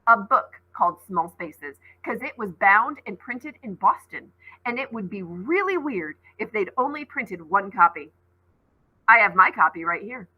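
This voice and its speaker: A woman's voice: medium pitch, expressive, unhurried, husky, highs cut off. A woman voicing a clever, defiant young girl heroine in a storybook, bold and emphatic. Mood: triumphant.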